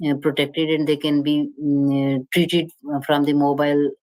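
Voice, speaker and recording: A woman with a very low voice answers a question at a panel discussion. She talks in halting stretches, hunting for words and restarting phrases. Her tone stays explanatory throughout. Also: flat delivery, a little mellow, rough recording. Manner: hesitant, many fillers and restarts, explanatory. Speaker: panel speaker.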